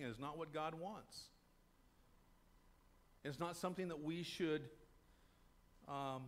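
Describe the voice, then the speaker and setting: An older man preaching a sermon in a small church, talking to the congregation in a conversational way and answering his own questions. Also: on the high side. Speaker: pastor. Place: small church sanctuary, indoors.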